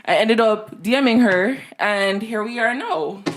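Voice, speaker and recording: A woman's voice: on the high side, a little slow, a little hiss or hum. A woman in her twenties with a Jamaican accent, co-hosting a casual podcast chat from a small room studio on a desk mic. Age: 20s. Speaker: podcast co-host.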